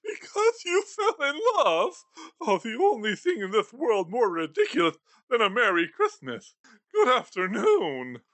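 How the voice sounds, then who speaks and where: A man's voice: very high-pitched, huge pitch swings, a little slow, a bit bright, no echo, heavily acted. A man voicing a bitter, miserly old male moneylender in a storybook reading, scornful and sarcastic.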